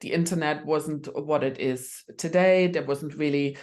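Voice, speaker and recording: Low-voiced woman with many fillers. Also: a little slow, darkish tone. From Germany. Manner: hesitant, many fillers and restarts. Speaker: guest expert. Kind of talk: conversational podcast interview.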